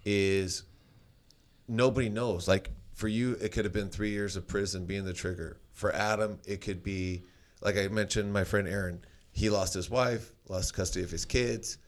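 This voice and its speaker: A man in casual conversation, telling stories in a loud voice, rambling with many restarts and fillers. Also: fairly low pitch, steady tone, normal speed.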